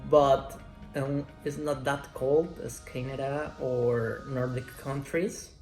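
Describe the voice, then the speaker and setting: Slow, careful man in his twenties. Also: medium pitch, fairly even intonation, darkish tone. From Mexico. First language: Spanish. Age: 20s. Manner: slow, careful non-native English. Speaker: interviewee. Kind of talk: student interview answers. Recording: roomy echo, faint music.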